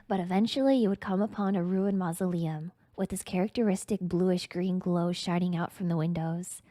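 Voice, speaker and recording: A woman with a slightly low voice, recorded dry and close. She narrates like a storyteller on a stream, theatrical and mock-grandiose in her delivery. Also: steady tone, moderate pace, mellow, no room sound. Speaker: streamer reading fan fiction aloud.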